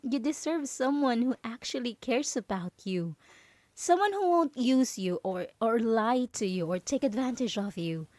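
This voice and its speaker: Woman playing a yandere female roommate, possessive and coaxing, very expressive pitch, close dry sound, stammering, ASMR roleplay. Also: a little slow, dry recording. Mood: protective, insistent. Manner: nervous stammering, pleading, coaxing. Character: possessive, overprotective yandere roommate, clingy and manipulative.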